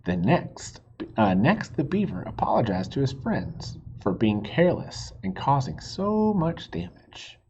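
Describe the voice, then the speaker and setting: A man reads a children's storybook aloud expressively, pausing for simple asides and questions to young listeners. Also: mid-range voice, sing-song, darkish tone, muffled recording, a little hiss or hum. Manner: asks the listeners questions, explains words simply.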